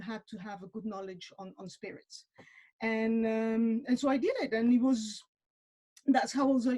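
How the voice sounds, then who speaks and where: Woman, forties, from Mexico, webinar guest, warm tone, hesitant, repeats words. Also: a little high, rough recording. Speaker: webinar guest, wine industry professional. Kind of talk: casual webinar talk.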